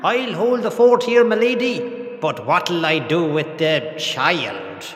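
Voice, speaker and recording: A man playing a loyal manservant with old-fashioned gentlemanly bluster in a fairy-tale audio drama. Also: on the high side, animated, slow pace. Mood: flustered. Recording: echoey hall, long reverb, faint music.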